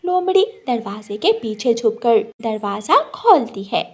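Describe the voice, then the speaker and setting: A woman's voice: on the high side, very animated, measured pace, slightly rough. A woman narrator reading a scripted moral story for children.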